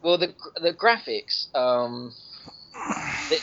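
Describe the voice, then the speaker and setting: A man's voice: fairly high pitch, lively intonation, takes it slowly. Guy rambling about a game on a podcast, keeps restarting sentences, slightly muffled lo-fi sound. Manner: rambling, restarts often, laughs at own jokes. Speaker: podcast guest reviewing a game. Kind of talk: casual gaming podcast chat. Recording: lo-fi podcast audio.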